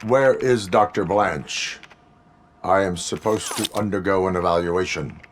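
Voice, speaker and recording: An actor playing a stiff, stoic cyborg police officer with formal, clipped, robotic delivery in a video game. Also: male voice, low voice, measured pace, slight background noise.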